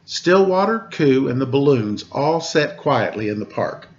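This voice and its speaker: A man's voice: mid-range voice, big pitch swings, a little slow, muffled recording. A man reading a story aloud as a storyteller, with informal, explanatory asides to his listeners. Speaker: storyteller reading a picture book, teacher.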